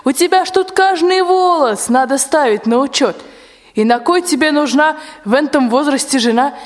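Royal nanny played by a woman: high, scolding, folksy and blunt, in a reverberant hall. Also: normal speed, natural voice. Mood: scornful. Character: sharp-tongued, scolding servant nanny of the tsar. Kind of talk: rhymed radio play.

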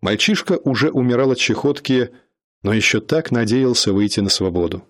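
A man narrating a radio drama in a measured, dramatic storytelling manner. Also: medium pitch, lively intonation.

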